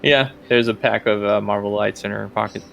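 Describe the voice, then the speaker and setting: A man's voice: fairly low pitch, steady tone, a little fast, creaky, fairly bright tone, muffled recording, a little hiss or hum. A man working as game master, narrating casually and improvising as he goes, often thinking aloud.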